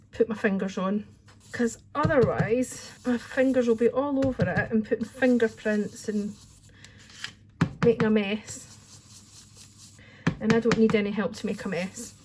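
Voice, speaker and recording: A woman's voice: a little high, a little hiss or hum, low-quality recording. A Scottish woman chatting casually through a card-making tutorial at her craft desk, with a friendly, rambling manner. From Scotland. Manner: chatty, friendly, says "wee" often.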